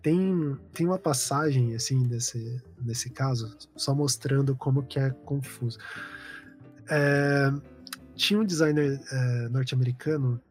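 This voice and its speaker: A male designer talks casually on a podcast, circling his points with fillers and restarts. His voice sits in an ordinary middle range. Soft music plays faintly behind him. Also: mid-range voice, unhurried. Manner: loose, rambling explanation with many fillers. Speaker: designer guest.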